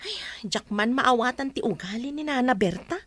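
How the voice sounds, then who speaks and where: High-voiced sympathetic Filipino woman, acted. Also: lively intonation, normal speed, a bit bright. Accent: Filipino, Ilocano. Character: sympathetic, helpful neighbour woman and friend. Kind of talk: radio drama acting.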